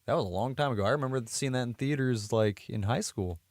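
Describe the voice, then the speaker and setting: A man's voice: mid-range voice, quick pace, no room sound, clean studio sound. An American man, around his thirties, chatting casually as a podcast co-host and rambling as he trails off mid-thought. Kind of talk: casual chat.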